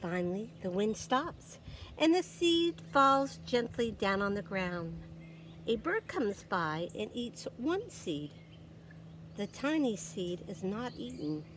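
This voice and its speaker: A woman storyteller reading a picture book aloud to young children, expressive and dramatic, with deliberate pauses and emphasis. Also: a little high, a little hiss or hum. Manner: expressive, dramatic pauses, gasps and exclamations for effect.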